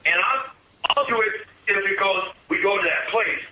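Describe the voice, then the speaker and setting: Man on a call, high-pitched, stubborn, muffled and echoing audio. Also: moderate pace. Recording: muffled, noisy, echoey phone recording.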